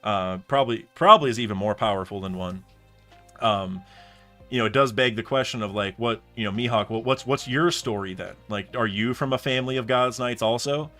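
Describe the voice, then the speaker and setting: A man's voice: fairly low pitch, brisk, bright, forceful tone, soft background music. Rambling man musing on a live stream. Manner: rambling, thinks aloud with many restarts and fillers. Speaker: streamer reacting to a comic chapter. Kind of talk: casual live stream chat.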